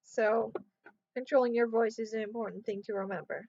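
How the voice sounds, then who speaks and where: A woman talking casually to her camera, sleep-deprived, her voice going hoarse, and rambling as she trails off. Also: on the high side, fairly even intonation, fairly quick, darkish tone, no room sound. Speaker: vlogger. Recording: dull, muffled sound in a dry room.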